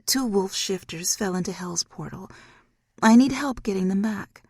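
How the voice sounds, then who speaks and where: A woman's voice: mid-range voice, normal speed, a little mellow, slightly rough, dry recording, clean studio sound, pitched above the performer's own voice. A woman voicing a bitter young witch speaking to her estranged mother. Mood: tense.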